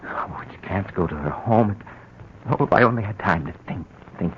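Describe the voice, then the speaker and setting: A deep male voice stammers and sputters as a guilty killer hiding his crime. He swings between menace and panic in 1940s radio style. The recording is muffled, with faint hiss. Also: lively intonation, moderate pace. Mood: nervous. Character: nervous murderous middle-aged husband and son.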